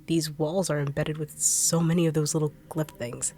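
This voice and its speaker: A low-voiced, blunt bodyguard with a sardonic, mocking edge, played by a young woman. She sounds brusque and impatient in an audio drama, with faint music underneath. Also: little pitch movement, normal speed, dark tone. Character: blunt, sharp-tongued woman bodyguard turned betrayer.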